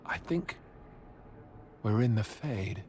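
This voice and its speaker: A man's voice: fairly low pitch, expressive, takes it slowly, darkish tone, slight background noise. An actor playing a world-weary, practical and wary male hero in a fantasy video game. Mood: uneasy, puzzled.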